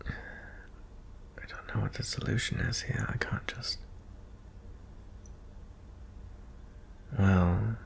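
A man playing a gentle, merciful young prince in an intimate ASMR fantasy roleplay, soft-spoken and hesitant, often slipping into whispers. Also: on the low side, creaky, exaggerated voice. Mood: concerned, hesitant. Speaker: audio roleplay performer.

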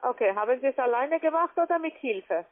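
A woman with a fairly high voice speaks as a non-native telephone sales agent. Her pitch is formal and halting, heard through a narrow, tinny phone line. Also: expressive. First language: not German. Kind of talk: sales pitch on a cold call.

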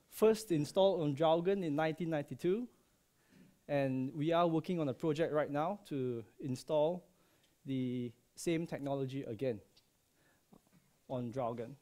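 Mellow-voiced man presenting at a seminar. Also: a little high. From Malaysia. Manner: friendly, joking, many ums and uhs. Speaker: subsea processing engineer. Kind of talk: conference presentation. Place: large lecture hall. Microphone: headset.

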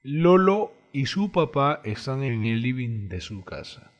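A man's voice: mid-range voice, very animated, unhurried, highs cut off, soft background music. A man giving scripted narration for an educational radio drama.

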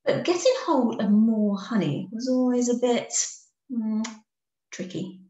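A woman teacher narrating a children's story aloud in an expressive way. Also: a little high, unhurried, highs cut off. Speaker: teacher reading to pupils. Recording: echoey room.

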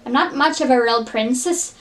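A high-pitched young Scottish princess, played by a woman. She is a tomboy archer from a cartoon, blunt and unbothered, with a Scottish accent. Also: moderate pace, a bit bright. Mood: blunt, casual. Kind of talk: cartoon character impression.

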